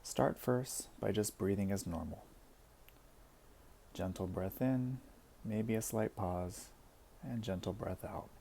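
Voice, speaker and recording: A man leading a guided body scan meditation as an instructor, speaking in a gentle, soothing way with deliberate pauses. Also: lowish voice, creaky, a little hiss or hum.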